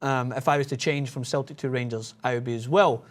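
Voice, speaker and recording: A man's voice: mid-range voice, steady tone, brisk. A man hosting a sports panel, leading the talk and steering the question to a guest. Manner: leads the talk, lots of short agreeing replies. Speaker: host.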